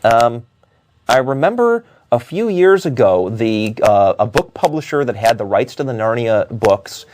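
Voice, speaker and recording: A man giving unscripted opinion commentary as a talk show host, arguing in a halting way with frequent self-corrections. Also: mid-range voice, lively intonation, darkish tone, a little hiss or hum.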